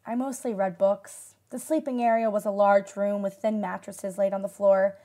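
An actress playing a wistful disaster survivor in a radio drama, recalling the past in a measured, reflective way. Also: female voice, medium pitch, little pitch movement, warm tone, natural voice. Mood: matter-of-fact. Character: female asteroid-disaster survivor recalling shelter life, wistful. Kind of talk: radio drama acting as interview testimony.

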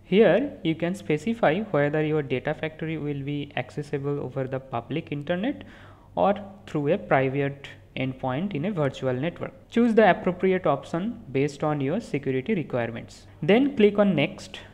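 An instructor talks the listener through a software setup in a plain, mid-pitched voice. The delivery is methodical, step after step, with the odd quick self-correction. It is a voice-over recorded apart from the screen capture. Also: lively intonation. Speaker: tutorial instructor.

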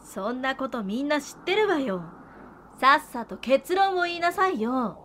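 Woman playing a high, bossy, impatient villager. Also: very animated, moderate pace, sharp tone, a little hiss or hum, heavily acted. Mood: irritated. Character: impatient, sharp-tongued bossy young woman. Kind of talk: Japanese audio drama acting.

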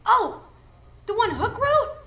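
A woman acts out a childlike female fairy from a radio play, high and very lively in pitch. She is chatty, cheeky and naive, easily distracted and playful. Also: takes it slowly, exaggerated voice. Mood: curious, playful. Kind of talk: live comedic radio drama acting.

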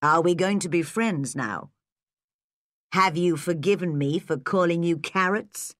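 A woman voicing a friendly, kind young man, gently spoken. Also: lowish voice, unhurried, dry recording, studio quality, lightly acted.

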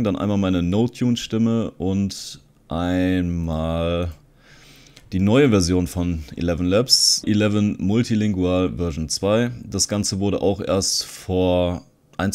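A man talks in a low voice. He presents like an online video host walking through software on screen. His delivery is casual and conversational, explaining as he goes. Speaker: video host, content creator. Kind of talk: casual screen-recording tutorial commentary.

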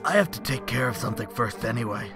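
A man's voice: mid-range voice, lively intonation, quick pace, natural voice. A man playing a laid-back, caring teenage boy in a game fan dub. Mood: easygoing. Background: guitar music.

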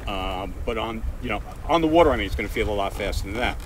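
A man's voice: fairly low pitch, expressive, quick pace. A middle-aged man patiently explains sailing terms aboard a sailboat out on the water, with ums and restarts. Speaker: sailing instructor. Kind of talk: on-camera explanation aboard a boat. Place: outdoors on a sailboat on open water. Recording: distant mic with loud wind and water noise.

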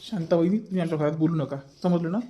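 A man's voice: a little high, fairly even intonation, quick pace, warm tone, lightly acted. A man voicing a surly, domineering village husband in a comic family cartoon, giving curt, repeated orders. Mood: angry, dismissive.

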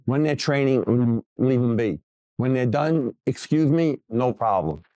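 A man's voice: mid-range voice, lively intonation, a little slow, mellow. A man in his sixties, a gym owner, talking casually and bluntly about his gym and giving a tour. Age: 60s. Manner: blunt, plain-spoken.

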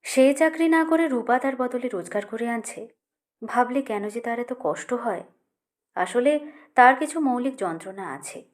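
Woman reading a short story audiobook, kinda high voice. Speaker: audiobook narrator. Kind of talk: scripted literary story narration.

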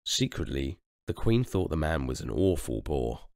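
Deep, animated male storybook narrator. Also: moderate pace, no room sound, clean studio sound. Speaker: storybook reader. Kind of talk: expressive children's story narration.

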